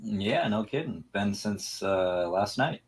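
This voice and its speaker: A man joins as a guest with a somewhat deep, husky voice. His casual chat moves up and down a lot in pitch, giving it an animated sound. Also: takes it slowly.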